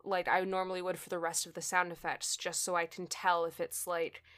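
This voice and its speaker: A woman's voice: fairly low pitch, little pitch movement, brisk, fairly bright tone. A woman with partial hearing loss, chatting casually as a guest about audio editing, laughing often between fillers. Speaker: audio drama editor and guest. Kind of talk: casual conversation.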